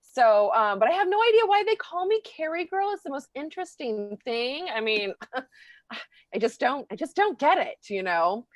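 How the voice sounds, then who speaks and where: A woman acts out a clueless small-town starlet on a spoof game show, with a highish voice. She gushes and rambles, tripping over her words, in a dry, close sound. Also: big pitch swings, moderate pace, no echo. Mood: gushing, flustered. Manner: flustered, rambling with stammers and breathy exclamations. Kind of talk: improvised game show parody.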